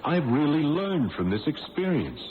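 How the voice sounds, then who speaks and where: A man's voice: on the high side, sing-song, moderate pace. A man playing an ingratiating petty con man in an old-time radio drama, pleading and stammering out promises. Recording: tinny, noisy old radio broadcast.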